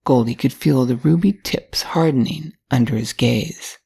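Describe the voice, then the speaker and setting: Mature woman, gravelly fry, husky tone, measured scripted story narration, older storyteller. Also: extremely low pitch, mellow.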